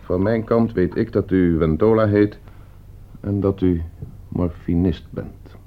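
An actor playing a calm, calculating schoolteacher in a radio play, speaking with polite, insinuating control. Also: male voice, low voice, slow. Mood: smooth, insinuating. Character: calm, calculating male schoolteacher, polite but menacing manipulator. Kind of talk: Dutch radio play acting. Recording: muffled old radio play recording.

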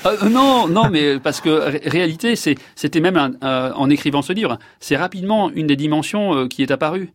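A man's voice: on the high side, expressive, moderate pace, hardly any pauses, no room sound, clean studio sound. A man in his fifties, a neurologist guest, giving long reflective answers with many fillers and restarts. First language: French.

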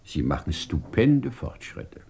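An actor playing an urbane, teasing scientific director in a radio play, whimsical and courteous, with elaborately worded, theatrical speech. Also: male voice, fairly low pitch, big pitch swings, slow, creaky, dark tone, exaggerated voice. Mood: sly, musing. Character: urbane, teasing male scientific director of a desert research station. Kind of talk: German radio play acting.

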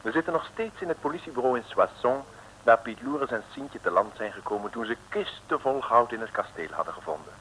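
A man's voice: medium pitch, lively intonation, fairly quick, sharp tone. A man giving mock-solemn, droll scripted narration for a 1960s radio serial. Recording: noisy old radio recording.

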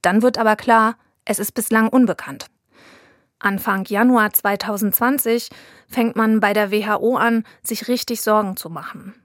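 A woman reporter giving scripted documentary narration in a calm, even, storytelling way. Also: mid-range voice, moderate pace, dry recording, clean studio sound. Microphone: studio mic.

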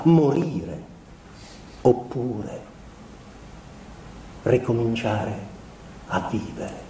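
An actor playing an introspective, melancholy musician confessing to his wife, in a measured literary stage monologue with deliberate pauses. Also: fairly high pitch, mellow, slight background noise. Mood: resigned, grave. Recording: roomy echoing stage sound.

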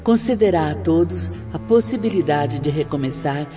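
A woman's voice: low-pitched, animated, moderate pace, warm tone. An actress playing an earnest female spirit teacher in a radio drama, with formal, preaching diction. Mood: earnest, hopeful. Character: Sister Doris, earnest female spirit teacher of moral re-education. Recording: dull reverberant recording with music.

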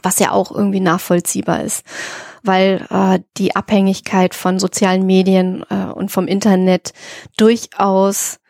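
A woman with a medium-pitched voice co-hosts a podcast. She speaks conversationally, pausing as she thinks aloud, in a dry recording with no room sound. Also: a little mellow, dry recording. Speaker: podcast co-host. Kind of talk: casual conversational podcast talk.

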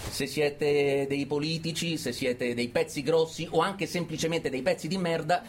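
An actor playing a smug, mock-cheerful prison host, unctuous and sardonic, in satirical radio theatre. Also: male voice, on the high side, moderate pace, a little hiss or hum, lightly acted. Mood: mock-courteous, sardonic. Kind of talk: satirical stage-style radio theatre monologue.

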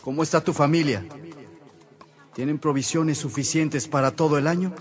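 An actor playing a warm, encouraging middle-aged father and generous landowner in a radio drama. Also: a little high, steady tone, normal speed, lightly acted. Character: kindly, generous landowner father and loving husband. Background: faint music.

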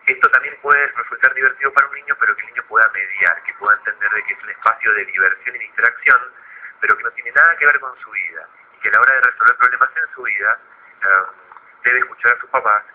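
An Argentine man, a guest psychologist in a radio interview, explaining in a didactic but halting way with repeated words. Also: fairly low pitch, breathy edge. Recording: phone-like narrow radio line.

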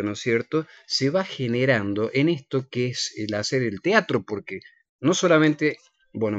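A man's voice: medium pitch, no echo, highs cut off. A man hosting a casual live radio interview, teasing playfully and speaking straight to the listeners. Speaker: radio host.

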